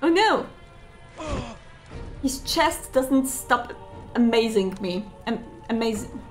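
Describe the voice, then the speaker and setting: A woman's voice: a little high, lively intonation, slow. A woman giving playful, chatty commentary while playing a video game. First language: Polish. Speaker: gamer. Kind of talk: let's play commentary. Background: game music.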